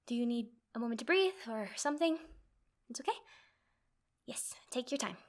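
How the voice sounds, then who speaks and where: Woman acting a high-voiced, flustered yandere girlfriend. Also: expressive, natural voice. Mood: nervous, caring. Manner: flustered, halting, rambling, with nervous giggles. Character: nervous, lovestruck, clingy yandere girlfriend, sweet but obsessive. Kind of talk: girlfriend roleplay ASMR, comedic monologue.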